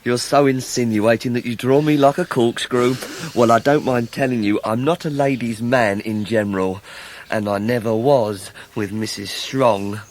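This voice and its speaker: A man's voice: mid-range voice, fairly even intonation, normal speed, a little mellow, a little hiss or hum, close to an everyday voice. Oily, scheming English clerk, acted by a man. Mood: oily, sly. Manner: obsequious, insinuating. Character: oily, falsely humble, scheming male clerk, jealous and insinuating. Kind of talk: full-cast radio drama acting.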